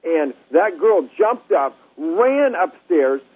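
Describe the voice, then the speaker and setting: Loud earnest male caller on phone. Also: a little high, sing-song, slow, breathy edge. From Bakersfield, California. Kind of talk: phone-in testimony. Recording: tinny phone line.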